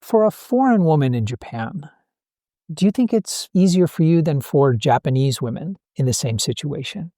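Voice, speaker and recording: A man's voice: fairly high pitch, lively intonation, moderate pace, warm tone, no echo, studio quality. A man hosting an interview podcast, asking questions in a casual way and thinking aloud with fillers. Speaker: podcast host. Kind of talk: interviewing a guest.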